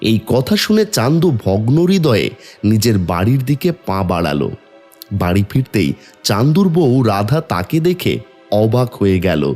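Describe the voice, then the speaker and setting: A man narrates a cartoon tale in a lively, varied storytelling voice. Light music plays behind him. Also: on the low side, moderate pace.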